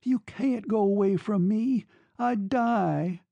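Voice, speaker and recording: Casting: male narrator as desperate, lovesick young woman, raised pitch, pleading, emotionally exposed, literary audiobook drama. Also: measured pace, no echo, full character voice. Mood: anguished. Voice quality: soft, breathy delivery. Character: young woman in love, clinging, anguished and desperate.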